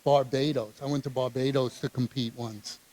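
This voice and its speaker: A man hosting an interview in a gym, asking questions with many fillers and quick agreements. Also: mid-range voice, steady tone, warm tone, rough recording. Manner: hesitant, uses many fillers and short agreements. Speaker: host, interviewer. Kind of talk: casual interview.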